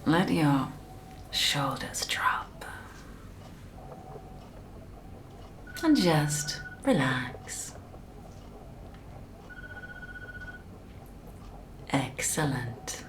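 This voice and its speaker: A woman playing a calm, controlling institute specialist in a soft, breathy ASMR hypnosis roleplay, often whispering, with slow, soothing, clinical delivery. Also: a bass voice, full character voice. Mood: soothing, hypnotic. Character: calm, controlling female institute specialist conducting mind conditioning.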